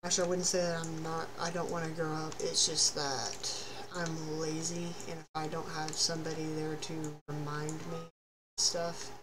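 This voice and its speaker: A teenage guy from Texas with a high, youthful voice, chatting loosely while he plays a game on stream. He rambles and pokes fun at himself in a flat, level tone. His headset mic picks up a clear hiss of background noise. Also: normal speed, few pauses. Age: late teens. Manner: rambling, self-deprecating, laid-back. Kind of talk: casual live-stream chat while playing. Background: ambient noise, thunder outside.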